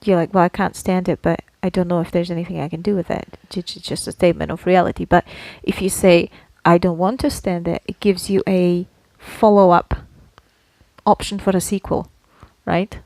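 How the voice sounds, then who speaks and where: A woman's voice: fairly low pitch, fairly even intonation, moderate pace, gravelly, a little mellow, dry recording, low-quality recording. Woman podcaster explaining things, dry close sound. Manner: explanatory, playfully argumentative. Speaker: podcast co-host. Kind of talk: casual conversational podcast talk.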